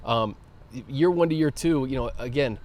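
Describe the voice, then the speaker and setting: A man's voice: medium pitch, normal speed, a little mellow. An American man co-hosting a sports show in a casual, conversational style.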